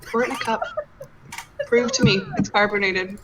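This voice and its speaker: A woman's voice: medium pitch, wildly swooping pitch, moderate pace. A woman chatting casually as a player on a tabletop game stream. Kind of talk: casual chat. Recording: clear background noise, live stream.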